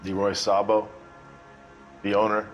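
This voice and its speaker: An actor playing a guarded, world-weary bartender who answers a detective in short, wry lines. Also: male voice, on the low side, monotone, unhurried, lo-fi sound, faint music behind, lightly acted.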